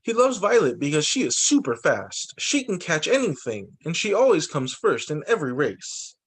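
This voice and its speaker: A man who teaches young children, reading picture books aloud in an expressive, sing-song storytime delivery. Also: on the high side, normal speed, slightly rough. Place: remote video call story session.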